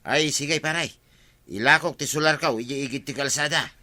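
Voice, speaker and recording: A man's voice: fairly high pitch, moderate pace, bright, forceful tone. A man with an Ilocano Filipino accent playing an agreeable, plain-talking village buddy in a comedy drama.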